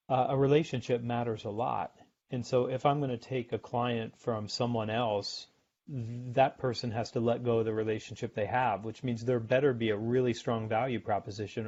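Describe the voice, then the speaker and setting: Measured American man explaining sales. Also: mid-range voice, darkish tone. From United States. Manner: explanatory, measured, repeats words while thinking. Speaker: author and sales expert guest. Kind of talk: podcast interview. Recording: dull lo-fi remote audio.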